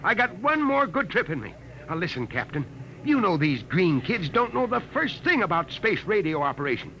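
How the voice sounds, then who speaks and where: A man's voice: sits high, very animated, normal speed, sharp tone, slight background noise, full character voice. An actor in a 1950s radio drama playing a loyal, older male space radio veteran with a folksy, wheedling manner. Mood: pleading. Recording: dull old radio broadcast recording.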